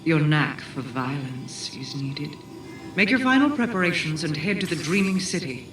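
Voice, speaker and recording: A woman's voice: low voice, expressive, normal speed, roomy sound, distant mic. A woman playing a regal, commanding queen in a fantasy video game, speaking in a solemn and formal way. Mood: grave. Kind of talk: video game cutscene acting. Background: music.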